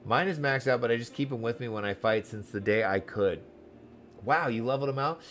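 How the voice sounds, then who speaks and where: A man's voice: medium pitch, brisk, fairly bright tone, a little hiss or hum. A man giving casual game commentary as a streamer, chatty, playful and easily sidetracked. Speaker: gaming streamer.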